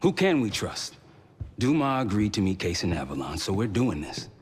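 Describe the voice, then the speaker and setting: An actor playing a grave, determined intelligence operative in a game cutscene, speaking in measured, clipped phrases. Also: male voice, on the low side, very animated, noisy background. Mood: businesslike. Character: grave, determined male intelligence operative briefing his team. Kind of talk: scripted game cutscene narration and dialogue. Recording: music behind the voice. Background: music.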